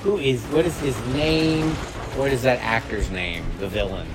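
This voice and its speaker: A man's voice: mid-range voice, expressive, moderate pace, over music. A man hosting a podcast, giving casual comedic movie commentary in a profane, mock-incredulous riffing way. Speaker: podcast host.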